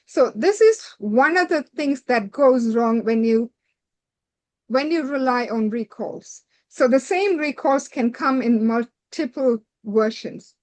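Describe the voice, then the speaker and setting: A woman with a somewhat high voice lectures doctors preparing for a licensing exam. She talks directly and instructively, linking one point to the next with "so" and repeating her warnings. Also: slow, a little mellow. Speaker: medical exam tutor.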